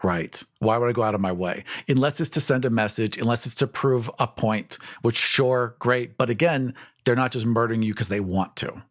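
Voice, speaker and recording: Male co-host, average pitch, casual banter, blunt and jokey. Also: normal speed, few pauses. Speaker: podcast co-host. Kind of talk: casual chat about tabletop game monsters.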